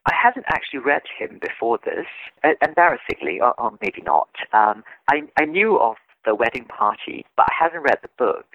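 A man from Singapore, speaking as a guest in a casual interview and explaining his work in an animated, reflective way. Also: medium pitch, moderate pace, vocal fry, no room sound. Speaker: guest translator. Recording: dull, dry lo-fi sound.

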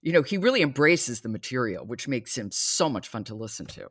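A woman's voice: rumbling low, big pitch swings, fairly quick, breathy edge, no echo, clean studio sound. A woman hosting a podcast discussion about books. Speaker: podcast host.

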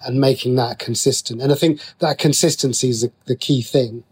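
A man's voice: medium pitch, steady tone, fairly quick, a little mellow, husky. A man answering interview questions as a writer, talking casually and breaking into his own sentences as he explains. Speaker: guest, TV and radio writer.

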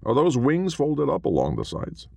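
A man voicing an eager, excitable ghost outlaw who speaks slowly and with wonder in a raised voice. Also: mid-range voice, gravelly, dry recording, voice pushed up, exaggerated voice.

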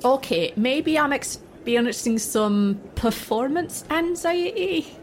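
High, animated actress as a brash bard. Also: female voice, slow, a little hiss or hum, heavily acted. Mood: brash, defensive. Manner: blunt, casual bravado. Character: brash, nervous female bard hiding her nerves. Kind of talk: acted fan fiction audio drama.

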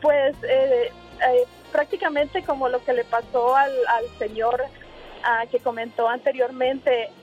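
A woman's voice: sits high, fairly even intonation, unhurried, soft background music. A woman caller telling a personal story hesitantly, full of fillers and quoted dialogue, over a phone call from a car. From Honduras. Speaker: radio call-in listener. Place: in a car while driving. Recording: tinny noisy phone call.

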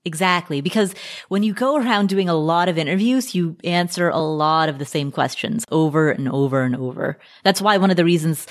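Engaged female podcast host with a lower-pitched voice and an easy, conversational delivery. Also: fairly quick. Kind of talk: casual conversational chat.

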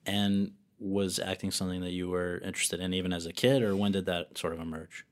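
A man's voice: deep voice, fairly even intonation, brisk. A man interviewing an actress, asking friendly, rambling questions. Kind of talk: conversational interview.

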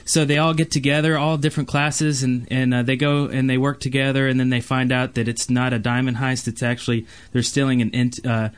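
A man's voice: mid-range voice, flat delivery, a little fast, few pauses, clear, resonant tone. A man hosting a podcast in a casual, conversational style. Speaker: podcast host. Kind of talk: casual chat.

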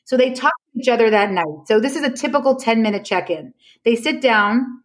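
A woman's voice: fairly high pitch, lively intonation, brisk. A woman explaining her ideas conversationally as a podcast guest, telling anecdotes emphatically and acting out reported dialogue.

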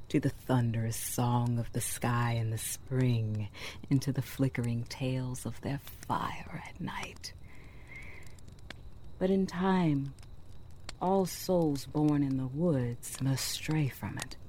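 A woman playing a mysterious, gentle forest storyteller, narrating a scripted fairytale in a hushed, breathy, intimate way with deliberate pauses. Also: extremely low pitch, slight background noise, exaggerated voice. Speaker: storyteller narrator of an audio drama podcast.

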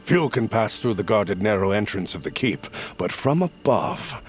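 Measured, solemn outlaw leader played by a man over music. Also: fairly low pitch, expressive, close to an everyday voice. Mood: grave. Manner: measured, formal, archaic phrasing. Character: solemn, persuasive leader of a band of smuggler outcasts. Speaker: actor in a fantasy audio drama. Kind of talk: audio fiction acting.

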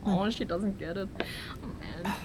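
A woman playing a lazy, complaining but playful young traveler in a fantasy audio drama, with a whiny, informal, expressive delivery. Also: mid-range voice, unhurried, a little hiss or hum, lightly acted. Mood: curious, playful.

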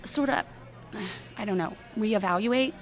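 Woman as teenage girl, medium pitch, blunt, gossipy, sardonic, conversational audio drama, faint music. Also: measured pace, lightly acted.

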